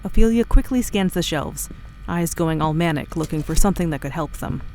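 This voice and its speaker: A woman's voice: low-pitched, lively intonation, a little fast, background noise. A woman giving scripted, dramatic narration for a sci-fi audio drama. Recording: music bed behind narration.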